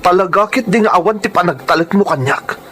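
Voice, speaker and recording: An actor playing a hurt, indignant husband in a radio drama, insistent and accusing. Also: male voice, high-pitched, brisk, fairly bright tone. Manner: reproachful, insistent. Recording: faint background noise.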